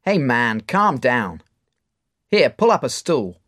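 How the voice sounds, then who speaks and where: A man voicing a rough but kind bar owner who talks in a brash, streetwise way in a storybook reading. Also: mid-range voice, sing-song, slow pace, fairly bright tone, pitched above the performer's own voice, full character voice. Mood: gentle.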